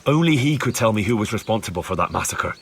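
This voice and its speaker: A man's voice: on the low side, expressive, fast, gravelly, slight background noise. An actor playing a dogged young medieval village man in a video game, plain-spoken, earnest and insistent. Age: young adult. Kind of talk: video game cutscene acting.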